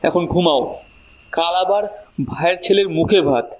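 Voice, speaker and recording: A man's voice: sits high, normal speed, poor audio. A man acting a weak, irritable husband ashamed of being childless, in a horror audio drama. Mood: irritated, ashamed.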